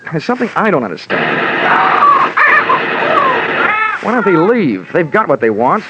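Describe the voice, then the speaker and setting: An actor playing a wry, slangy, hardboiled insurance investigator who also narrates an old-time radio drama. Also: male voice, on the high side, huge pitch swings, fast, very noisy. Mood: wry, urgent. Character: wry, tough American insurance investigator hero. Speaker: lead and narrator. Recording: muffled old radio recording.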